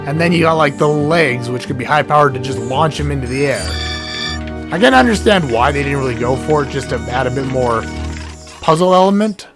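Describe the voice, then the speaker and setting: A hoarse man, sick, giving rambling casual commentary while playing a game, often stuttering and correcting himself. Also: medium pitch, animated, brisk. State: hoarse from illness. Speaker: let's play gamer. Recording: game music underneath.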